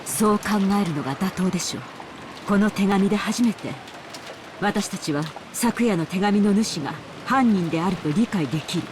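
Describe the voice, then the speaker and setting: Stern, quick-spoken lady of the house, played by an actress. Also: mid-range voice, background noise, natural voice. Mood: grave, displeased. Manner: formal, commanding. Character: stern, dignified middle-aged lady of the house. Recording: heavy rain ambience behind the voice.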